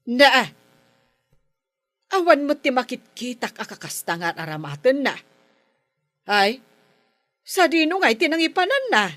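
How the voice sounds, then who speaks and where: A woman's voice: sits high, big pitch swings, measured pace, long pauses, sharp tone, dry recording, exaggerated voice. A woman playing a scolding, suspicious village captain's wife in an Ilocano comedy drama, with nagging exclamations and an Ilocano accent. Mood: curious.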